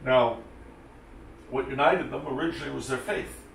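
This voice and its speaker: A man's voice: mid-range voice, steady tone, moderate pace, creaky. A man whose first language is French, giving dry, sarcastic, wide-ranging answers as an interview guest. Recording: echoey room, distant mic, faint noise.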